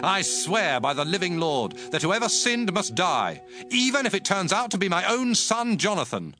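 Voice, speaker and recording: A man's voice: sits high, sing-song, normal speed, music in the background. A man playing a commanding, devout ancient king in a dramatized audio Bible. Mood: solemn, commanding. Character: King Saul, commanding, stern, devout Israelite king.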